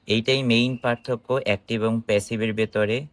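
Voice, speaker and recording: A man's voice: medium pitch, steady tone, a little fast, fairly bright tone, dry recording. A man with a Bangladeshi accent giving an informal online grammar lesson in an explanatory, question-and-answer manner. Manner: explanatory, instructive, asking and answering own questions. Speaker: English grammar teacher.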